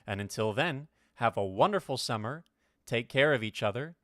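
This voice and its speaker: A man speaks as the announcer of a radio drama show. His pitch is average and his delivery scripted, in a dry recording. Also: animated, normal speed, fairly bright tone, dry recording.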